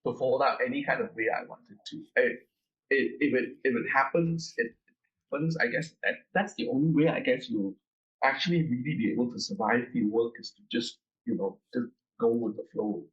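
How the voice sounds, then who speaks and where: Halting, soft-spoken man, slightly muffled. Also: mid-range voice. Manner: halting, many restarts and repeated words. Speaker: researcher guest. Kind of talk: conversational interview.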